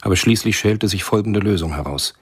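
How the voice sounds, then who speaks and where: A composed thriller narrator in a radio play. His voice is deep and very husky, telling the story in measured, literary phrasing. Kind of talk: scripted radio drama narration.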